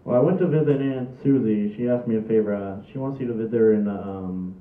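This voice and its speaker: A man's voice: on the low side, fast talker, close to an everyday voice. A man reading the part of a sensible, exasperated son, with dry and hesitant delivery. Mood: awkward, exasperated. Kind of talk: amateur table read from script. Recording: tinny echoey distant camera audio.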